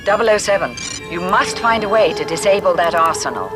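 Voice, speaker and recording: A woman's voice: animated, fairly quick, close to an everyday voice. A woman playing a stern intelligence chief in a video game, giving briefings in a formal, commanding manner. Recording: loud music and engine rumble behind. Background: music, vehicle noise.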